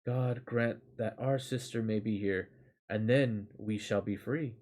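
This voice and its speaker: A man's voice: lowish voice, steady tone, a little slow, darkish tone. A man voicing enchanted raven brothers from a storybook, speaking in an earnest, questioning way. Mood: hopeful. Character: enchanted raven brothers, puzzled, hoping to be freed.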